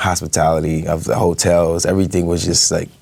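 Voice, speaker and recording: A young man speaks, his voice sitting low. He talks casually as an interview guest, hesitating often with fillers and laughing easily. His voice sounds dry and close. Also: level tone, brisk, creaky, a little mellow, no room sound. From Brooklyn, New York. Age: young adult.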